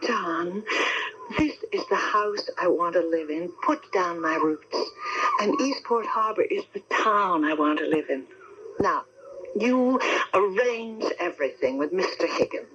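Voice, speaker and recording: An actress playing an anxious, devoted wife in a 1970s radio drama, with hesitant, dramatic delivery. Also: female voice, lowish voice, animated, unhurried, fairly bright tone, slight background noise. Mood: curious, resolved. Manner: dramatic, hesitant first-person storytelling. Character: anxious, devoted wife telling her ghostly tale. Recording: dull 1979 AM radio broadcast, music.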